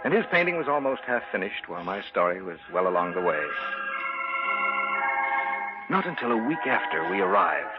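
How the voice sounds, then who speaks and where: An earnest american writer guy in an old spooky radio play, very expressive, muffled recording. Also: sits low, normal speed, pauses often, loud music behind the voice. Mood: grave narrating. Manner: earnest, dramatic. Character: earnest male writer recounting an eerie tale. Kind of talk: 1940s-50s American radio acting.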